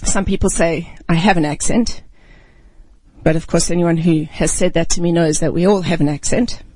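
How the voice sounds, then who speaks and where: A woman ministry speaker, raised outside the United States, gives a reflective devotional talk and reads scripture, often clearing her throat. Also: lowish voice, fairly even intonation, moderate pace, mellow, no room sound, rough recording.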